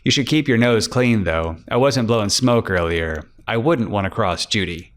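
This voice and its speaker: A man's voice: lowish voice, expressive, normal speed, fairly bright tone. A man voicing a weary, blunt private detective boss in a crime audiobook, terse and matter-of-fact. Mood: scolding.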